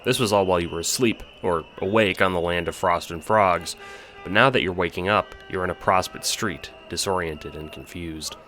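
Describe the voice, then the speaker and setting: A man's voice: deep voice, moderate pace, pushed and bright. A man narrating an audio drama in a dramatic, scripted storytelling style, with music behind him.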